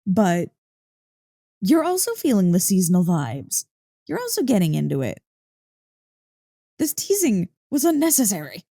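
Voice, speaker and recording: An excitable, affectionate dog-girl , played by a woman in an ASMR roleplay. Her voice is soft and mellow but swings widely in pitch as she teases and gets flustered. She takes her time, speaking close into a dry mic as if to her partner. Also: a little slow, creaky, pauses often, dry recording, full character voice. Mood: teasing, affectionate. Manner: playful, expressive, mock-indignant teasing. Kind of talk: ASMR roleplay, intimate one-sided dialogue. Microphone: close, dry microphone.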